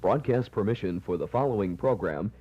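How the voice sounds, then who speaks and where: A man's voice: fairly low pitch, expressive, brisk, husky. An American man reading a formal scripted network announcement on the radio. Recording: muffled vintage radio broadcast.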